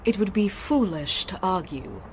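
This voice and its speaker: An actress playing a formal, condescending alien envoy in a video game cutscene. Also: female voice, mid-range voice, lively intonation, a little slow, lightly acted. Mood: cold. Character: cool businesslike alien envoy woman. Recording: game audio with clear ambient noise.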